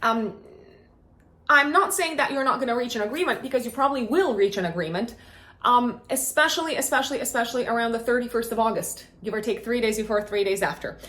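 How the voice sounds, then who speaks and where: A woman from Romania, a native Romanian speaker, talks with a fairly high-pitched voice in a noticeably echoey room. She hosts a horoscope in a chatty, informal way, repeating words as she thinks aloud and keeping a playful touch. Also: quick pace, fairly bright tone. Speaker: astrologer and host. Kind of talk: casual, chatty monthly horoscope talk.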